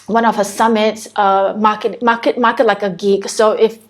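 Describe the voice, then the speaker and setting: A woman's voice: medium pitch, flat delivery, moderate pace. A woman from Singapore with a Singaporean English accent, hosting a casual spiritual coaching live stream from her home, chatty and repeating her phrases as she talks to viewers.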